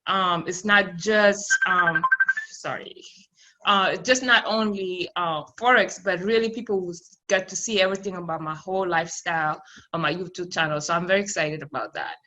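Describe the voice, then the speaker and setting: A woman's voice: fairly low pitch, normal speed, bright, forceful tone, highs cut off, soft background music. A woman from South Sudan, raised in Ethiopia with Amharic as her first language, answering interview questions in a rambling way, with many fillers and restarts. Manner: laughs between phrases. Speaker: podcast guest.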